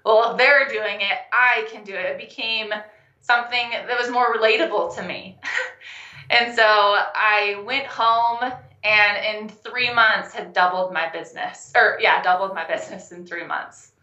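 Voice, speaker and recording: A young adult woman, a health coach and guest, telling her story in a casual interview, animated and laughing often. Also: mid-range voice, unhurried, reverberant, dull audio. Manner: animated, laughs often, acts out quoted phone calls.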